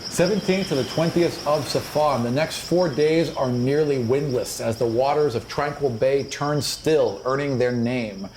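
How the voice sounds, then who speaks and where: A man narrates a tabletop fantasy game as its game master, at a medium pitch. He tells it descriptively and dramatically with casual asides. Faint noise of crickets and insects sits behind his voice. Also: unhurried, hardly any pauses. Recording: clear background noise, insect ambience.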